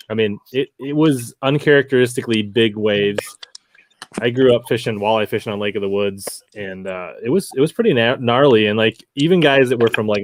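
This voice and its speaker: A man's voice: medium pitch, slight background noise. A man telling fishing stories casually as an angler guest on a podcast.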